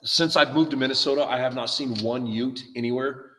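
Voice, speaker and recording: A man's voice: mid-range voice, steady tone, fairly quick, a bit bright, big-room sound, distant mic, a little hiss or hum. A man co-hosting a casual live car show who tells stories with laughter and imitates how other people talk. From California. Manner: laughs while telling stories, mimics others' speech. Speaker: co-host. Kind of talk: casual chat.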